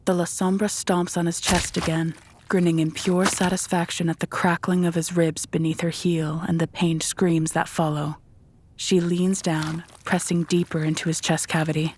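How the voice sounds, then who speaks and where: Low, even-toned woman narrating horror. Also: moderate pace, slight background noise. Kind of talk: dramatic scripted narration of a horror audio drama.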